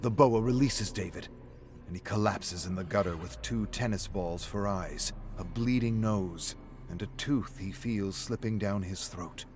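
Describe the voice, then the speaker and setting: A man's voice: lowish voice, unhurried. A man giving a scripted, dramatic narration of a gritty game story. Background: music.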